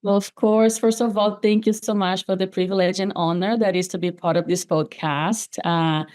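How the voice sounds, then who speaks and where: Brazilian woman guest on a podcast, lowish voice, sincere, restarts her words a lot. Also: darkish tone. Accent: Brazilian Portuguese. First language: Portuguese. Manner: earnest, halting with restarts. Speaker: nonprofit development specialist guest. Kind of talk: podcast interview, personal story.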